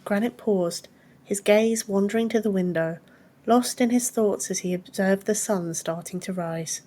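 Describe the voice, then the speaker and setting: A woman's voice: medium pitch, unhurried, warm tone. A woman reading scripted fantasy fiction as an audiobook narrator.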